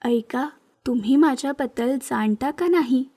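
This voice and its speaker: Woman as high-voiced, earnest young widow. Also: a little slow, warm tone. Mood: frank, firm. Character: earnest young widowed mother, frank and hesitant.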